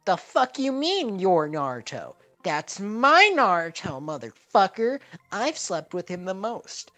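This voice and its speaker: A man putting on a jealous young girl ninja, shrieking high and loud in a furious rush. Her voice swings wildly in pitch, and faint music runs under this fan-made spoken story. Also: sing-song, a little slow, exaggerated voice. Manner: shrill yelling.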